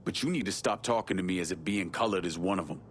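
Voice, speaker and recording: An actor as a deep-voiced, blunt Southern war veteran. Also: male voice, quick pace, a little hiss or hum, lightly acted. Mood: firm, indignant. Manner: blunt, guarded, profane. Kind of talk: naturalistic video game cutscene acting.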